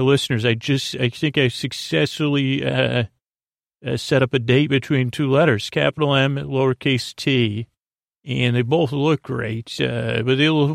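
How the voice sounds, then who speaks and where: Rambling gravelly-voiced man, dry close sound. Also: mid-range voice, no echo. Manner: meandering, drowsy, full of hesitations and restarts. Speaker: podcast host. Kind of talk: rambling bedtime podcast intro.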